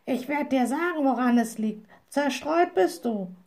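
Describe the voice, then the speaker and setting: Storybook raven, male, played by a woman: screechy croak, raised pitch, blunt scolding know-all. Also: expressive, measured pace, darkish tone. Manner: reproachful, lecturing. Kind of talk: storybook character voice.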